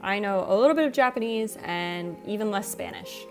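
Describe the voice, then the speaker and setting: A woman's voice: mid-range voice, expressive, a little fast. A young adult woman livestreamer chatting casually with her viewers in a self-deprecating way. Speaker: live streamer. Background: soft video game music.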